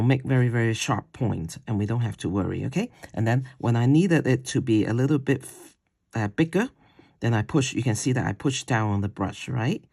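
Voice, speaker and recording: A man with a deep voice teaching a watercolor paint-along. He talks slowly while he paints and often checks in with "okay?". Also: creaky, dark tone. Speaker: watercolor instructor. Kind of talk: paint-along tutorial demo.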